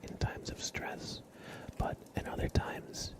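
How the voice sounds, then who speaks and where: A man hosting an ASMR livestream, talking in a gentle, reassuring, soothing way. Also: slow, rough recording. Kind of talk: whispered ASMR, soothing affirmations and chat to viewers.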